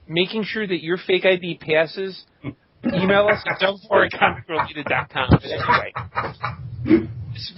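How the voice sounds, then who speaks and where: Joking male podcast host, lightly high voice. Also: breathy edge. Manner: joking, tongue-in-cheek. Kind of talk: casual chat reading out listener shout-outs. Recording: dull lo-fi voice recording.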